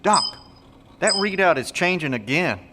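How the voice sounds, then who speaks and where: A man's voice: high-pitched, lively intonation, moderate pace, long reverb tail, heavy background noise. A man playing a plainspoken, practical, good-natured country fellow in an audio drama, with a folksy, informal manner. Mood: uneasy.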